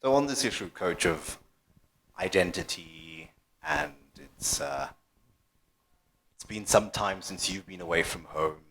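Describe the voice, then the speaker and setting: Deep-voiced man moderating a panel. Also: expressive, unhurried, long pauses, a bit bright, a little hiss or hum. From South Africa. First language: Afrikaans. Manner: thoughtful, hesitant with repeated restarts. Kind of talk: panel discussion questions.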